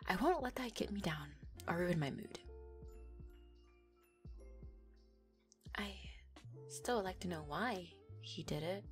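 A woman's voice: on the low side, lively intonation, moderate pace, faint music behind, natural voice. A young woman voicing a playful, affectionate neko girlfriend in an audio roleplay, giggly and teasing as she talks. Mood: quietly troubled.

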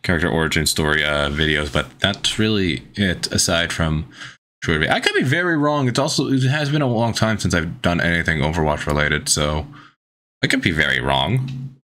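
A man's voice: a bass voice, lively intonation, normal speed, sharp tone. A young man giving casual, laid-back reaction commentary as a video host in a small room. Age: young adult. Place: small indoor bedroom. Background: film soundtrack music.

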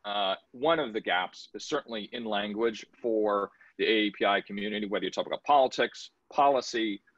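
Brisk male video call moderator. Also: lowish voice, fairly bright tone, no echo. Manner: brisk, prompting speakers to be quick. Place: home office with bookshelves. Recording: dull lo-fi video call audio.

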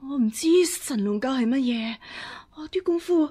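An actress playing a scheming empress dowager in a radio drama, haughty but hesitant and deferential when cornered. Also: female voice, high-pitched, lively intonation, normal speed, warm tone, breathy edge. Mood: meek, evasive. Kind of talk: Cantonese radio drama acting.

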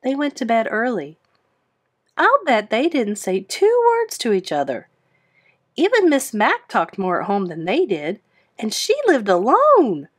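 A woman narrating a children's book aloud in an expressive storybook style. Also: on the high side, measured pace.

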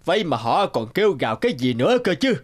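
An actor voicing a stern old emperor of heaven in an animated fairy tale, commanding and scolding in manner. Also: male voice, on the high side, quick pace. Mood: indignant. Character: stern old Jade Emperor, imperious male ruler of heaven. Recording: music behind the voice.

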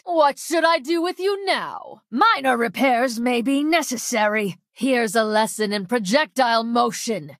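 A woman's voice: fairly high pitch, a bit bright, dry recording, studio quality, full character voice. An actress playing a coldly arrogant female mad scientist villain in a video game, with a clinical, mocking manner and precise diction. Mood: menacing, theatrical. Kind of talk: theatrical video game voice lines.

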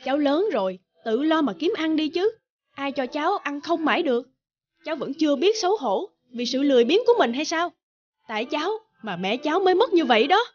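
A woman's voice: high-pitched, lively intonation, a little fast, long pauses. A woman playing a stern, disdainful village auntie who scolds, in a cartoon folktale. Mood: scornful. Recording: dull, band-limited sound.

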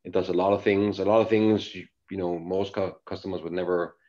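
A man's voice: low voice, fairly even intonation, a little fast, dark tone. A middle-aged man from Denmark giving a casual webcast presentation from his living room at home, talking with many fillers and restarts. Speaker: engineering executive presenter. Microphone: laptop webcam mic, video call. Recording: dull lo-fi video call audio.

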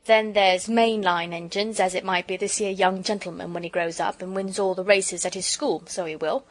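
A woman's voice: medium pitch, steady tone, normal speed, close to an everyday voice. A woman voicing a cheerful working-class railway porter who talks in a broad, chatty dialect.

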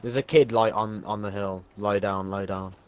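A man streaming a game, chatting casually with a teammate, sarcastic and quick to swear about the game. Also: fairly low pitch, fairly even intonation, smooth. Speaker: gaming streamer. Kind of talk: casual gaming chat.

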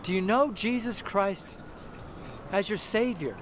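A man's voice: high voice, measured pace. A middle-aged man preaching an informal sermon to the camera on a city sidewalk, rambling and repeating himself with many fillers and restarts. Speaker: street preacher. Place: outdoors on a city sidewalk by a brick wall. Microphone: camera close up, handheld. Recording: noisy outdoor street recording. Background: street traffic noise.

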